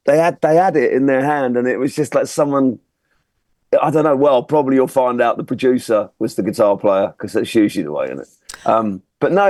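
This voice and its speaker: A man's voice: medium pitch, darkish tone, dry recording. A man on a music judging panel, giving a colloquial, opinionated and matey critique. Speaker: music judge on a review panel.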